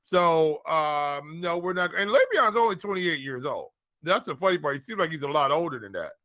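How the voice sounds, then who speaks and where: A man's voice: fairly high pitch, big pitch swings, moderate pace, sharp tone, no room sound. A man co-hosting a casual fantasy football show, talking colloquially and repeating phrases for emphasis. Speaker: fantasy football podcast co-host and analyst. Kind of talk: casual sports talk.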